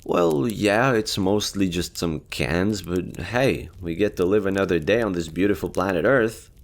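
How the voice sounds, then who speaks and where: A man's voice: low-pitched, a little slow. A man acting as a lonely, easygoing survivor of a nuclear apocalypse in an audio roleplay, talking casually and candidly with some hesitation. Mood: casual, upbeat.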